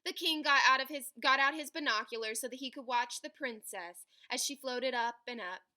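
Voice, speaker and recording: A woman reading a children's picture book aloud in an animated, dramatic way, stretching key words for emphasis. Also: sits high, moderate pace. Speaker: read-aloud storyteller.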